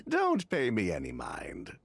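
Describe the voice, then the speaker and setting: An actor playing a jovial, shifty merchant in a video game, with a glib manner and a gravelly, husky voice. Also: male voice, high-pitched, huge pitch swings, fairly bright tone, dry recording, exaggerated voice. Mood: musing. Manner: glib, chuckling, drawn-out delivery. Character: jovial, shifty self-styled perfume merchant, elder brother. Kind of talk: scripted game voice acting.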